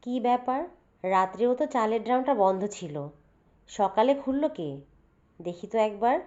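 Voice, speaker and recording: A woman voicing a poor, loving village mother in a cartoon, brisk and nagging. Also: fairly high pitch, warm tone, close to an everyday voice. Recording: dull, lo-fi recording.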